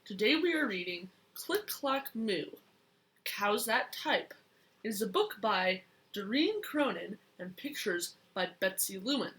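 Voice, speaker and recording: A young child reading a picture book aloud in a deliberate, expressive way. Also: medium pitch, low-quality recording. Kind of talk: storybook read-aloud.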